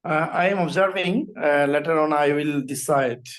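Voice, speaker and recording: A man's voice: a little high, normal speed, fairly bright tone. Reserved man from Bangladesh. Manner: brief, reserved. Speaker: guest at a club meeting.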